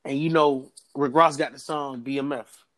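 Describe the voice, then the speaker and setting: A man's voice: mid-range voice, normal speed. A young man from Florida co-hosting a podcast, telling stories in casual, profane banter and laughing often. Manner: profane, laughing, animated storytelling. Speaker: podcast co-host.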